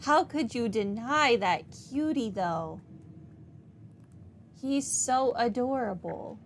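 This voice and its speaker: A woman's voice: fairly high pitch, expressive, takes it slowly, slight background noise. A woman giving casual, wry, conversational commentary as she plays through a game. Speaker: gaming streamer. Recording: game music faintly behind.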